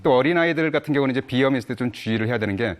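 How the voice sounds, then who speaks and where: Brisk man explaining medical facts. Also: medium pitch. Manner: measured, explanatory. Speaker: medical expert guest. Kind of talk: explaining on a TV morning show.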